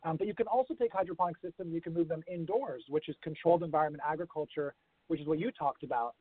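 A man's voice: fairly high pitch, fast, slightly rough, no room sound. A man with an American-sounding accent, a guest in a remote interview, explaining things thoughtfully with some fillers. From grew up around the world. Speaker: guest, urban farming consultant. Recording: tinny phone line.

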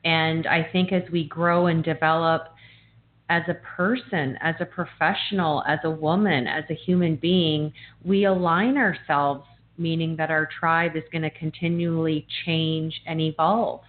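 A woman in her fifties hosting an interview, speaking enthusiastically and warmly complimenting her guest, often repeating her first words. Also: sits low, fairly even intonation, unhurried. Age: 50s. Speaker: podcast host. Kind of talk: interview talk. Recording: phone-like remote line.

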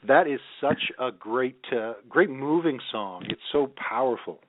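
A man hosts a radio interview with an appreciative, encouraging manner. His pitch sits in the middle of the male range. The sound is narrow, like a phone line, and quite dry with no room around it. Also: expressive, measured pace, no echo. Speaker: radio host interviewing a musician.

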